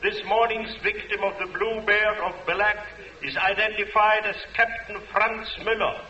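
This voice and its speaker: An actor playing a stern military announcer, declaiming formally to villagers in a 1940s radio drama, on a noisy, echoing old recording. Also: male voice, high voice, level tone, normal speed, bright, forceful tone, far from the mic, highs cut off. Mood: stern, official.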